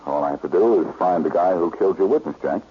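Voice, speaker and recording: A man's voice: low-pitched, quick pace, lightly acted. An American actor playing a confident, wry male amateur detective in a 1940s radio drama. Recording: dull, hissy old radio recording.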